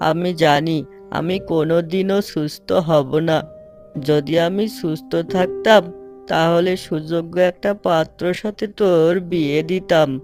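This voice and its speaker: A man's voice: on the high side, steady tone, unhurried, darkish tone, faint music behind, close to an everyday voice. A man playing a sickly, self-blaming father in a cartoon, talking in a plaintive, lamenting way. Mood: sorrowful lament.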